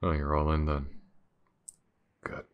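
An extremely low-voiced, gruff detective played by a male voice actor in an intimate audio roleplay. He is weary and aloof, muttering slowly and haltingly, with heavy gravel in the voice as he addresses his new partner. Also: completely flat delivery, dull audio. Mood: gruff, testing. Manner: halting, muttering, sighing, informal.

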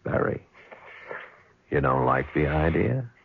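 An American actor playing a bitter, intense war veteran bent on revenge in a seventies radio drama. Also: male voice, extremely low pitch, very animated, slow pace, vocal fry, noisy background, heavily acted. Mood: cold, menacing. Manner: intense, goading. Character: bitter, vengeful male war veteran plotting murder. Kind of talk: 1970s radio drama acting. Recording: dull old radio broadcast.

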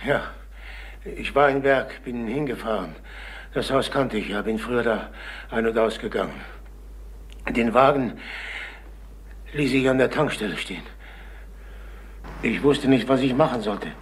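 An actor playing a desperate, middle-aged jobless salesman in a crime radio play, halting and agitated. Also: mid-range voice, close to an everyday voice. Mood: distressed. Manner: halting, agitated, repeating himself. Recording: noisy old radio play recording.

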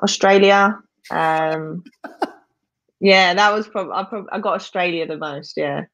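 A woman's voice: on the low side, slow pace, fairly bright tone, a little hiss or hum. A young woman from England with a Southeast London accent, chatting as a guest over a video call. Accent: Southeast London English. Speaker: guest, wrestler. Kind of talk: casual video-call interview. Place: small room indoors. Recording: dull, lo-fi video-call audio.

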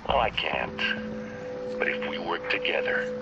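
An actor playing a calculating rogue agent in a video game, coaxing and deliberate, with theatrical pauses. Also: male voice, very animated, whispered, lo-fi sound, loud music behind the voice, heavily acted. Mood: coaxing, hushed. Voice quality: gravelly, breathy low voice. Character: calculating rogue agent, smooth-talking male villain. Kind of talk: video game cutscene acting.

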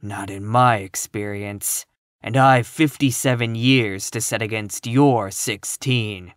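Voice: medium pitch, a little slow, no room sound, studio quality, lightly acted. A narrator voicing a grim, sour, scornful spinster in her fifties from a storybook, full of gloom and contempt. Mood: gloomy, retorting. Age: 50s. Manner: grim, scornful, flat pronouncements.

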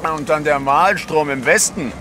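Superstitious seaman, played by a man: high male voice, ominous yarn-spinner, unhurried delivery, radio play with noisy ship ambience. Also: lightly acted. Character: gruff superstitious sailor spinning ominous sea yarns. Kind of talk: audio drama acting. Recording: loud outdoor ship ambience.

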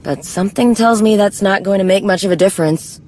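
A woman's voice: medium pitch, brisk, darkish tone, natural voice. A woman playing a tough, guarded young warrior in a video game, speaking bluntly and drily. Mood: businesslike, determined. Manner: blunt, dry, no-nonsense. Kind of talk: video game cutscene acting.

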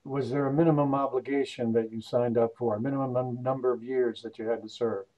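A man conducting an oral history interview, asking polite questions in a measured way with frequent fillers. His voice is mellow, and the recording sounds slightly dull. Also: mid-range voice, steady tone. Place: Moorestown, New Jersey. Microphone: video call.

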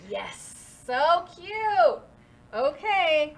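A middle-aged woman with a fairly high voice, chatting in an upbeat, encouraging way as she walks a child through a craft project. The tone is casual and homey, and a faint rumble sits under the audio. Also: very animated, very slow, vocal fry, rough recording. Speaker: co-host and mother of the young crafter. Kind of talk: casual livestream craft chat.

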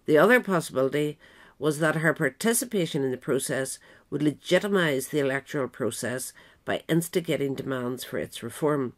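A woman reading scripted narration in a measured, formal manner, as the narrator of a pamphlet. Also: low-pitched, animated.

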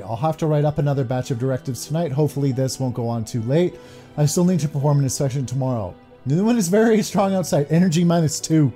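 A man's voice: on the high side, warm tone. A man in his thirties doing let's play commentary and reading game text aloud from a home room. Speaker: gaming streamer. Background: game music.

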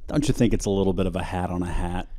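A man's voice: low voice, racing, mellow, no echo, studio quality. A man co-hosting a podcast in casual chat, reacting and joking along. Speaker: podcast co-host.

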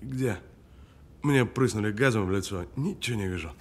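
An actor playing a tough, unrepentant man in an audio drama, justifying himself in a matter-of-fact, deadpan way. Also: fairly low pitch, moderate pace, noisy background, natural voice. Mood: defensive, deadpan. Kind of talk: naturalistic audio drama acting.

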